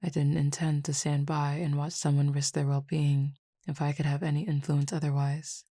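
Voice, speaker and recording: Woman acting as supernatural Cupid roommate, low husky voice, creaky, formal and measured, calm and softly teasing, intimate audio roleplay. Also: dry recording, clean studio sound. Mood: solemn, reflective.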